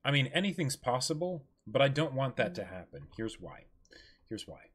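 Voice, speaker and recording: A man's voice: medium pitch, very animated, moderate pace, a bit bright, breathy edge. A man in his twenties co-hosting a livestream, talking casually and emphatically, repeating phrases and checking in with "right?". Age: 20s. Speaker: co-host of a livestream. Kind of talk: casual chat, discussing manga. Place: indoor room, seated.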